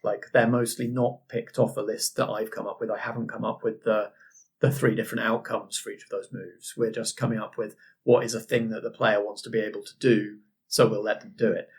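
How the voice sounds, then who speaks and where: A man's voice: on the low side, flat delivery, fairly quick. A man hosting a podcast, explaining things as a game master in casual conversation.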